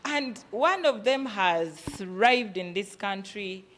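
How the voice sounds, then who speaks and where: Female workshop speaker, Ugandan accent, mid-pitched voice, motivational business delivery built on pointed rhetorical questions. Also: expressive, slow, fairly bright tone, a little hiss or hum. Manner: emphatic, asking rhetorical questions and answering them.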